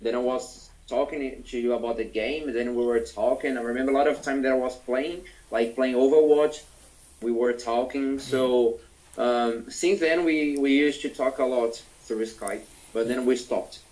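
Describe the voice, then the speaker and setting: A young Brazilian man with a Portuguese accent, hosting a casual chat in English with a friend, halting and restarting phrases as he goes. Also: mid-range voice, steady tone, a little mellow, rough recording. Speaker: host.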